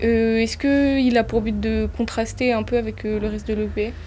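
Young female interviewer, higher voice, playful. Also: little pitch movement, moderate pace, a little mellow, a little hiss or hum. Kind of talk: casual interview questions.

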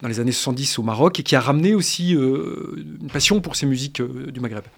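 A man explaining music history in a radio discussion, halting with many restarts and fillers but analytical. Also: mid-range voice, creaky. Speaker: guest expert on music history.

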